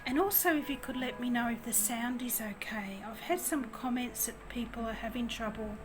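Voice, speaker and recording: A woman with a naturally quiet voice giving a gentle tarot reading, talking softly with pauses. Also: on the high side, over music. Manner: gentle, soft, slow and hesitant. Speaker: tarot reader. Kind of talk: soft-spoken tarot reading.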